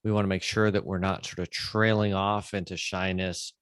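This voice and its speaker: Male interview podcast host, fairly deep, conversational, plain-spoken summarizer, recorded dry with no room sound. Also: flat delivery, normal speed, fairly bright tone, smooth, no room sound.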